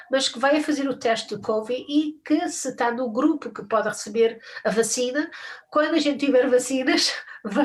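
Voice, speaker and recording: An older woman hosting a live stream from home over a video call, chatting casually, with frequent restarts and little laughs. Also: a little high.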